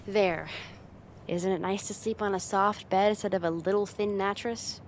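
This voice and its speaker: A woman's voice: medium pitch, fairly even intonation, normal speed, slight background noise, natural voice. A woman playing a confident, teasing young woman, a friend's older sister, in a casual ASMR roleplay spoken straight to the listener. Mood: smug, teasing. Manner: playful, teasing, casual, giggly, lightly exasperated.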